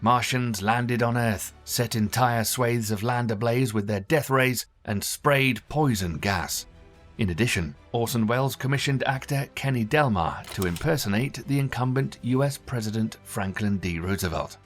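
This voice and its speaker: A man reading scripted documentary narration in a measured, formal way. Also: mid-range voice, soft background music.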